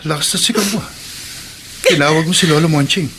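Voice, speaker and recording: Radio drama: sympathetic young Filipino companion, fast, lightish register. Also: male voice, fairly high pitch, sharp tone, a little hiss or hum. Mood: alarmed.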